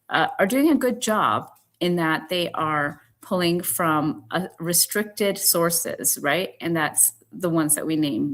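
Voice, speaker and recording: A woman's voice: low-pitched, a bit bright. A woman guest on a podcast, talking earnestly with frequent "you know" fillers. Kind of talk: conversational podcast discussion.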